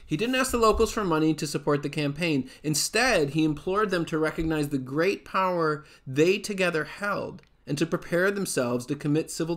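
A middle-aged man reads nonfiction aloud in a measured, explanatory way, recorded at a desk in a living room at home. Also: fairly high pitch. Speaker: author reading a book aloud. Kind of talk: read-aloud nonfiction narration.

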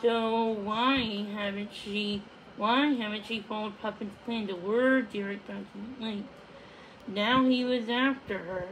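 A woman reading a children's book aloud, haltingly, stumbling over words and repeating them. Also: medium pitch, fairly even intonation, slight background noise, poor audio. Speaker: storybook reader.

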